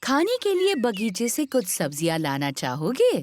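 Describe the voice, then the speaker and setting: A woman's voice: on the high side, wildly swooping pitch, moderate pace. Doting, singsong grandmother pig. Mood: affectionate. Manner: affectionate, motherly. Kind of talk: children's cartoon dub.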